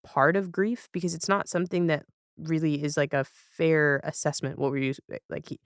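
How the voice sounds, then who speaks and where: A woman's voice: low-pitched, steady tone, moderate pace, no echo, clean studio sound. A woman chatting casually as a podcast guest, thoughtful and often searching for words as she talks about television.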